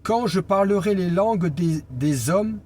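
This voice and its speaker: A man preaching an informal Bible teaching as an evangelist, speaking deliberately and pausing on key phrases for emphasis. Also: sits high, animated, darkish tone. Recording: clear background noise and ambience.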